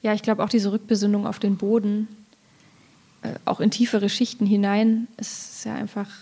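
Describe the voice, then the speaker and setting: A woman's voice: mid-range voice, flat delivery, normal speed, dark tone. A woman speaking as a poet in an informal literary conversation, thinking aloud in a reflective way. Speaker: poet in discussion.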